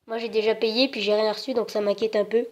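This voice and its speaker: A woman with a slightly high voice makes a prank call, posing as a customer. She speaks hesitantly but keeps meekly insisting. The sound is a dull, band-limited phone line. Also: fairly even intonation, quick pace, a little mellow, a little hiss or hum. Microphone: telephone.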